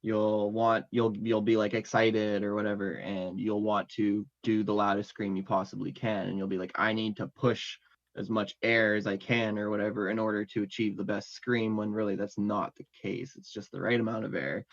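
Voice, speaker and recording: A man's voice: on the low side, little pitch movement, moderate pace. A man chatting casually as an interview guest about his music, rambling and full of fillers. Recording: dull lo-fi audio.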